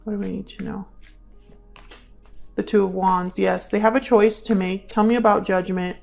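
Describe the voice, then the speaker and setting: A woman reads tarot as a channel host, speaking at a medium pitch. She talks conversationally, thinking aloud as she interprets, and circles back to repeat questions and phrases. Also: fairly even intonation, moderate pace, gravelly, a little mellow, soft background music. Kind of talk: intuitive tarot reading, casual spoken commentary.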